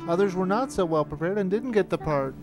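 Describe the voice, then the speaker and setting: A man's voice: high voice, lively intonation, a little fast. A man narrating a reflective, anecdotal documentary retrospective as the filmmaker. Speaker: filmmaker looking back on studio's history. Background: music.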